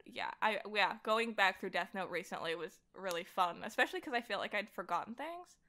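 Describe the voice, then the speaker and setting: A young woman talking casually as a podcast guest, in an animated, rambling way peppered with "like". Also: mid-range voice, a little fast. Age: young adult. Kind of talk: casual conversation about comics.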